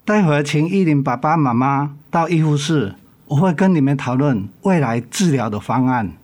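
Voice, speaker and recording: A man playing a calm, caring hospital doctor in a radio drama, measured and professional. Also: on the high side, close to an everyday voice. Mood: serious.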